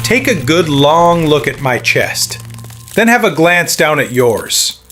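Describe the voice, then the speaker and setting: A blustering alien mine boss, played by a man, pitched fairly high and swinging widely as he sneers. He is an arrogant, contemptuous bully in a motion comic, with faint music behind him. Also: sing-song, slow pace, a bit bright, exaggerated voice. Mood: contemptuous, threatening.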